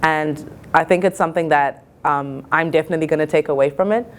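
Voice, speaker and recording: Casting: female college student, early twenties, low register, earnest and reflective delivery. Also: steady tone, a little fast. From Zimbabwe. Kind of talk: reflective interview-style talk.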